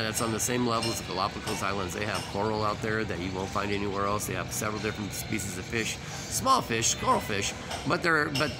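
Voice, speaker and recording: A man in his seventies giving a casual, unscripted fishing report to camera outdoors, with a folksy, rambling manner that repeats words. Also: lowish voice. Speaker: fishing charter operator giving a weekly report. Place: outdoors at a marina storefront.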